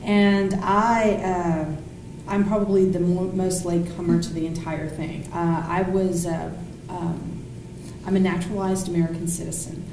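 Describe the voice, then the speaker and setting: Woman, middle-aged, second-language English, lowish pitch, earnest, hesitant, roomy recording with faint noise. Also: flat delivery, normal speed. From Thailand. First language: Thai. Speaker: political discussion panelist. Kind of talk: casual personal introduction to a group. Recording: roomy, distant mic, faint background noise.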